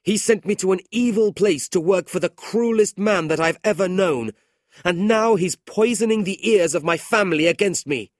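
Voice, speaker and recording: A man's voice: medium pitch, lively intonation, normal speed, dry recording, clean studio sound, voice pushed up, natural voice. A man voicing a proud, honest young man who speaks earnestly and flares with indignation, in a storybook reading. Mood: indignant.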